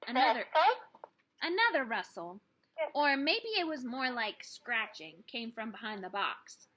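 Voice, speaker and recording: A woman's voice: a little high, very animated, a little slow, bright, forceful tone, rough recording. A woman reading a children's book aloud expressively, with dramatic, emphatic phrasing for young listeners. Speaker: teacher reading a story aloud.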